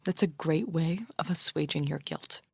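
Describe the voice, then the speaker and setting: An actress playing a proud, combative radio journalist in an audio comedy-drama, haughty, pedantic and exasperated with precise wording. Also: female voice, deep voice, normal speed, vocal fry, a little mellow, slightly rough, dry recording, studio quality, full character voice. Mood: intense, accusing. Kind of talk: animated audio fiction comedy-drama acting.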